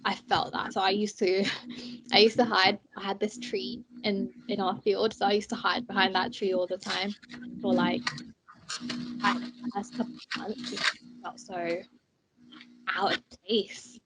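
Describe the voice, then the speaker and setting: A woman's voice: mid-range voice, level tone, a little slow, pauses often, noisy background. A young woman from Bangladesh, a student union president, chatting casually and laughing often as she tells her story. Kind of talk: casual chat while eating snacks. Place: indoors, student union room.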